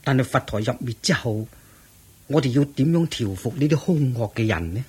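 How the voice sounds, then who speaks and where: A man's voice: medium pitch, expressive, normal speed, slightly rough. An actor playing a reverent disciple monk in a radio drama, earnest, respectful and protective of his master. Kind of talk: Cantonese radio drama acting.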